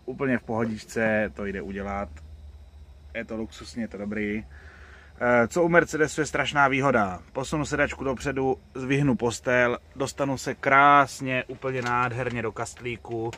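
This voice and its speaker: A man from the Czech Republic chatting casually and colloquially as a truck driver, commenting on his trip from inside the cab. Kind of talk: casual commentary while driving. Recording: loud engine and road noise. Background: vehicle rumble and road noise.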